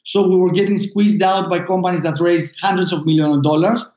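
A man with a Greek accent, a founder answering interview questions in a halting way, full of fillers and restarts. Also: high-pitched, steady tone, darkish tone. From Greece. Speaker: startup founder guest. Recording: echoey, dull lo-fi sound.

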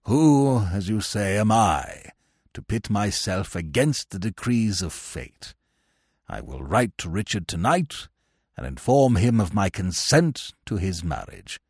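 Slow, low-voiced old English lord. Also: male voice, very animated, husky, dry recording, studio quality, exaggerated voice. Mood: won over. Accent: upper-class English. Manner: slow, ponderous, murmuring. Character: haughty, sentimental old English lord, easily won over.

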